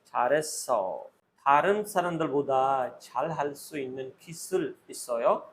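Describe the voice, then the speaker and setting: A man's voice: medium pitch, animated, takes it slowly, slight background noise. A man acting as a Korean exam interviewer, giving short instructional commands and set questions.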